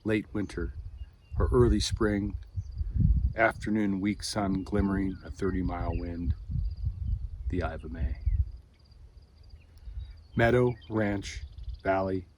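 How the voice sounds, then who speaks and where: A man reading a poem as a poet, in measured, deliberate phrases with pauses between them. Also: low-pitched, steady tone, a little mellow. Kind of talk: poetry reading. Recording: clear outdoor background noise.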